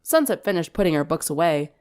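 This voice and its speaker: A woman reading a scripted story aloud as its narrator, in an expressive storytelling style. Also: low-pitched, quick pace.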